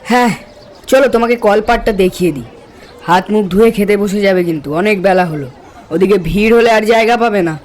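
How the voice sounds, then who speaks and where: A man's voice: sits high, fairly quick, some chatter behind, a little hiss or hum, natural voice. An actor playing a hospitable, insistent village man in a horror cartoon, talking in a chatty, coaxing, folksy way. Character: hospitable, insistent village man hosting his junior colleague. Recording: music behind the voice. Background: background music.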